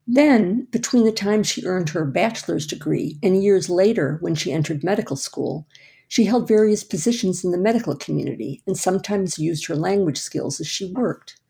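Husky, gravelly-voiced woman host. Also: on the low side, mellow. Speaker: radio host and interviewer. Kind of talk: scripted narration and interview questions.

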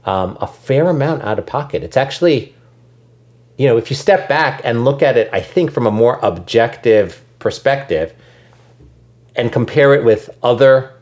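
A man's voice: mid-range voice, expressive, normal speed, darkish tone. Thoughtful man with many ums. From United States. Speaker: guest, education nonprofit leader. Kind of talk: conversational interview.

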